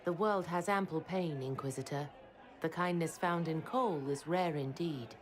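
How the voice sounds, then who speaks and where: An actress voicing a kind, soft-spoken female tavern bard in a video game, gracious in manner. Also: low voice, normal speed, some chatter behind, close to an everyday voice.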